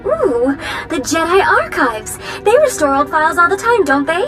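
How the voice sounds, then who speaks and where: A playful female computer companion for a video game. Her voice is high and sugary, and she phrases things in a breezy, flirtatious way. Music is clear in the background. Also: very animated, normal speed. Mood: playful fussing.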